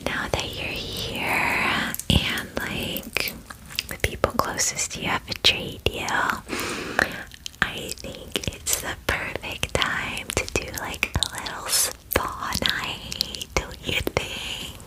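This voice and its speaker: A woman voicing a chatty, clingy female dragon in a breathy ASMR whisper, gushing casually like a best friend in a fantasy roleplay. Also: slow, close to an everyday voice, low-quality recording. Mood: doting, bubbly. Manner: gushing valley-girl chattiness, filler-heavy, casual.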